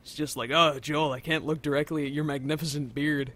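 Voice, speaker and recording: A man's voice: fairly high pitch, flat delivery, a little fast, fairly bright tone. A man bantering casually over gameplay in a droll, mock-genteel manner. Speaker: let's play co-commentator.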